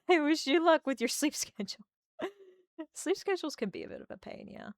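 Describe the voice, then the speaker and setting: A woman with a slightly hoarse, gravelly voice chats casually with her stream as she draws. She often trails off into quiet muttering while she works. The sound is close and dry. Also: sits high, lively intonation, fairly quick, dry recording. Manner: chatty, thinking aloud, muttering to herself as she works. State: slightly hoarse from a sore throat. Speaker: art streamer. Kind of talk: casual livestream chat while drawing.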